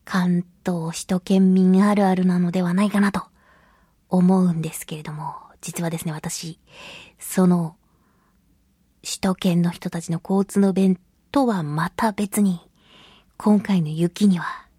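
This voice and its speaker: A woman's voice: fairly low pitch, fairly even intonation, measured pace, dark tone, slightly rough, no echo, clean studio sound. A woman hosting a casual solo radio talk, chatting in a playful manner. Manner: playful, chatty, laughs often. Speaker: radio show host and voice actress.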